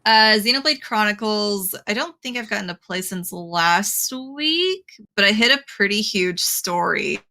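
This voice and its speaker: Bright-voiced woman gushing about games. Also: mid-range voice, animated, measured pace, few pauses. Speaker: podcast co-host. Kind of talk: casual podcast chat.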